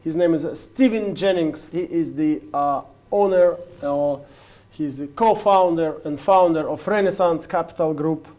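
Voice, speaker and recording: Russian guy hosting in english, kinda high warm voice, lots of restarts. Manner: halting, restarts, casual. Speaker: host. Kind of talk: interview questions.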